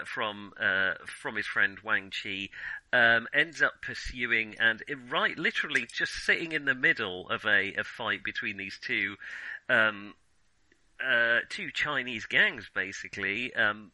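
Bright, forceful-voiced man chatting about films. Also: on the low side, a little slow, no echo, poor audio. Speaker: podcast host. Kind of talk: casual film discussion.

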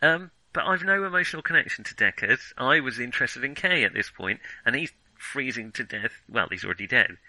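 Male film podcast host, very bright sharp voice, dry close sound, opinionated and halting in casual discussion. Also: medium pitch, lively intonation, no room sound, low-quality recording. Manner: hesitant, self-interrupting, opinionated. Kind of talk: casual film criticism chat.

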